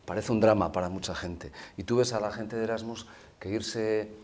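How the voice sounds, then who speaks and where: A man speaks with a low voice in a steady, measured way. He sounds like a learned university lecturer, building his points carefully and often adding a quick tag question. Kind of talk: conversational discussion.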